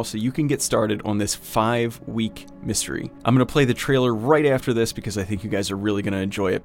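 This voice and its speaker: A man speaking as a podcast host, delivering a casual promotional announcement straight to listeners. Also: lowish voice.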